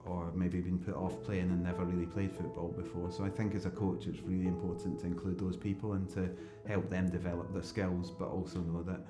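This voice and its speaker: A Scottish man in his thirties, a football coach, speaking in a measured, reflective documentary interview. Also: deep voice, flat delivery. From Scotland. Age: 30s. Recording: roomy interview with music bed.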